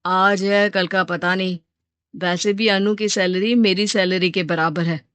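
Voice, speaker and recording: A woman voicing a gentle, earnest, devoted young man in a storybook tale. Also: lowish voice, steady tone, measured pace, clear, resonant tone, no echo. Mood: persuasive. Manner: soft-hearted, earnest.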